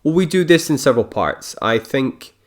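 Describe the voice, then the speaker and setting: A man's voice: mid-range voice, expressive, moderate pace. A man from Scotland talking casually to his vlog in an unscripted, rambling way, often interrupting himself and thinking aloud. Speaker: vlogger, dance choreographer and producer. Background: background guitar music.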